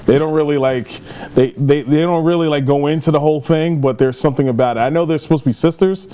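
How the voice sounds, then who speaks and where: Man, slightly high voice, mellow tone, podcast host, easygoing, questioning, casual chat. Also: quick pace, clear, resonant tone. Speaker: podcast host moving through news topics. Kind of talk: casual chat about games and movies.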